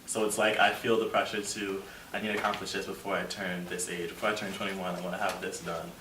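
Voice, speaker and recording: A young man in his twenties with a lowish voice, talking earnestly and loosely. He fills his sentences with "like". He sounds distant in an echoey room with faint background noise. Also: level tone, quick pace. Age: 20s. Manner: earnest, rambling, many fillers like "like". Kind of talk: casual group discussion.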